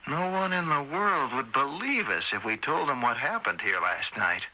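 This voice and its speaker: An actor playing a nervous, stubbornly curious young husband with hesitant, urgent speech in old-time radio drama. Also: male voice, mid-range voice, lively intonation, moderate pace, loud music behind the voice, lightly acted. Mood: excited, coaxing. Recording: noisy old radio broadcast.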